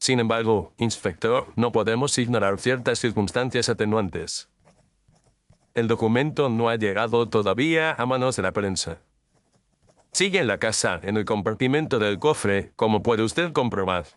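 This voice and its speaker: A gentleman detective in an audio story, acted by a man, with a lowish voice in a dry, close recording. He is composed and formal, setting out his reasoning with deliberate, cool precision. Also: no room sound, lightly acted. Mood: measured, firm. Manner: formal, deliberate, coolly accusing. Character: cool, incisive, measured male gentleman detective. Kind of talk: dramatized audio story acting.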